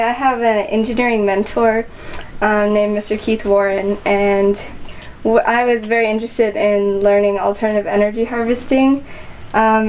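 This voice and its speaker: A woman in her late teens, a polite high school student giving informal answers in an interview. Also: a little mellow, rough recording. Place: small school office, indoors. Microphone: camera microphone in the room. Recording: slight background noise in office.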